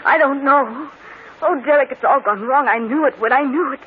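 A woman's voice: high-pitched, normal speed, phone-quality sound, lightly acted. An actress playing an anxious, guilt-ridden young woman who pleads emotionally in an old radio melodrama. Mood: anxious, pleading. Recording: thin, noisy old radio broadcast.

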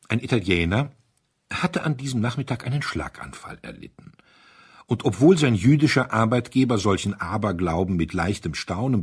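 A man narrating a literary story as an audiobook, in a measured, deliberate way with expressive emphasis. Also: fairly low pitch, slightly rough.

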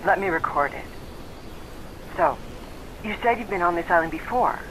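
An eager male expedition interviewer in an adventure game. His pitch swings in an animated way, and he comes through a noisy old recording. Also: moderate pace. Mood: curious, astonished. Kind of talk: video game voice acting.

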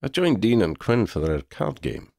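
A man's voice: lowish voice, very animated, normal speed, gravelly, darkish tone, no room sound, studio quality, voice pushed up. A man voicing a proud, lordly talking male cat in a storybook, with pompous, formal wording. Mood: disdainful. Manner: pompous, formal wording with Scottish turns of phrase.

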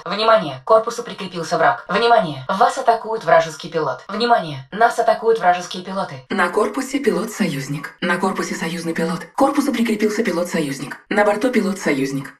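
A woman voicing a dutiful female combat mech AI in a game, giving crisp, measured status reports. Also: lowish voice, natural voice. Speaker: titan AI voice. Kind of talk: game voice lines, Russian dub.

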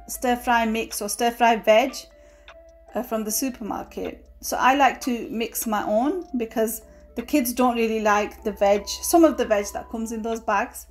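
A woman giving a relaxed, chatty voice-over of her home cooking, with fillers now and then. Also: on the high side. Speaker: cooking vlogger. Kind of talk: casual voice-over narration.

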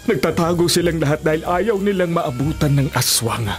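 An earnest old villager in a radio drama. His delivery is hesitant yet emphatic, with a very animated pitch that rises and falls as he insists on his tales. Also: normal speed, a little hiss or hum. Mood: earnest, insistent. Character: older village man, earnest believer in aswang tales. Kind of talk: Filipino radio drama acting. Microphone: studio condenser mic with pop filter.

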